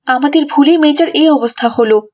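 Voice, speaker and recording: A woman's voice: high voice, brisk, a little mellow, voice pushed up, close to an everyday voice. A woman voicing a worried, remorseful mother who speaks earnestly and piously in a cartoon story. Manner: earnest, moralising.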